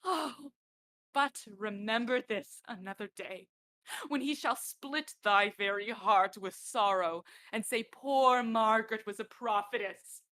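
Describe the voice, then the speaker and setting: A woman's voice: a little high, takes it slowly, fairly bright tone. A young female student actor playing a bitter, vengeful prophetess queen in a Shakespearean radio play. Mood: ominous, scornful. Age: young adult.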